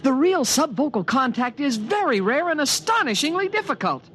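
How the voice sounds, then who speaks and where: An eager, protective invisible alien from a fifties radio play. The speech is formal, wordy and precise, swinging widely in pitch, with faint noise from an old broadcast recording. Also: sing-song, normal speed, fairly bright tone. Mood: earnest, pleading. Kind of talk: 1950s radio drama acting. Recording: noisy vintage 1950s radio recording.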